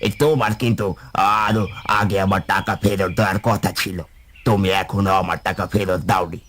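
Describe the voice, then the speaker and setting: A man's voice: low-pitched, fairly even intonation, a little fast, a bit bright, slight background noise. A man playing a threatening, overbearing village moneylender in a cartoon, bullying and accusatory. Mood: menacing. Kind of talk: cartoon voice acting.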